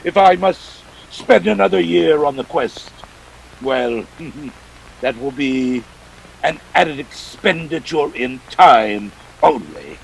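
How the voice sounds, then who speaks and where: An actor playing a jovial, pompous, scheming older crime boss with florid, courtly speech in a detective radio play. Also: male voice, fairly high pitch, very animated, slow pace, gravelly, a little hiss or hum, full character voice, low-quality recording. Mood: jovial, composed.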